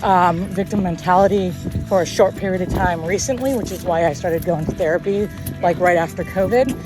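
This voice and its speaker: A woman's voice: lowish voice, brisk, music in the background, rough recording. A woman talking candidly and reflectively about personal things in a casual conversation. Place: outdoors on a walk.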